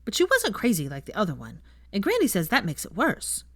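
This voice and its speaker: A woman voicing a bright, curious little boy in a storybook reading, earnest and childlike. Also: medium pitch, huge pitch swings, fairly quick, slightly rough, no echo, studio quality, pitched above the performer's own voice, full character voice.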